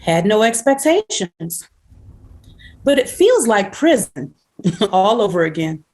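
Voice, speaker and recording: A woman's voice: medium pitch, big pitch swings, slow pace, darkish tone. A woman playing a wry, world-weary woman home from prison, in a screenplay table read. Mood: weary, reflective.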